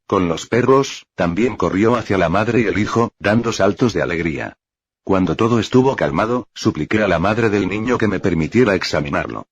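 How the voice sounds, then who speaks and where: A man reading a first-person account aloud in steady, unhurried storytelling. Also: low voice, breathy edge, no echo. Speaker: narrator. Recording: dull lo-fi dry recording.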